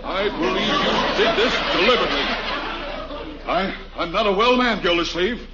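A fussy, haughty neighbour in 1940s radio comedy. He speaks high and huffily, pompous and aggrieved, over a noisy, muffled old recording. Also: lively intonation, moderate pace, crowd noise. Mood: indignant. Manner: huffy, clipped, pompous.

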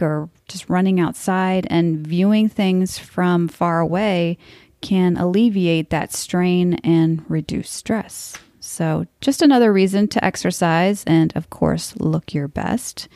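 A woman's voice: fairly low pitch, warm tone, no room sound, studio quality. A woman in her forties hosting a podcast, talking in a frank, blunt and wry way. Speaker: podcast host. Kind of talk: scripted podcast monologue.

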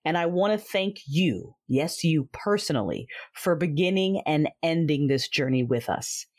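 Low-voiced woman reading podcast credits. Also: animated, unhurried, a little mellow. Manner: friendly, chatty, reads credits. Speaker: podcast creator and host. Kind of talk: casual closing announcements and credits. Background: music.

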